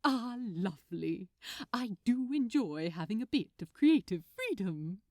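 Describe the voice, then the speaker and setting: Storybook role: polite, cheerful, slightly fussy gentleman, genteel and very animated in pitch; woman performing. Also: measured pace, no room sound, clean studio sound, lightly acted. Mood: pleasant, chatty. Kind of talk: fan fiction audiobook dialogue.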